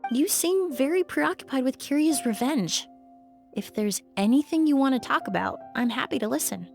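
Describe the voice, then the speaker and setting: Gentle, composed young woman, higher-pitched. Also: expressive, gravelly, faint music behind. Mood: gentle concern. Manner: gentle, measured. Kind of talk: video game voice acting.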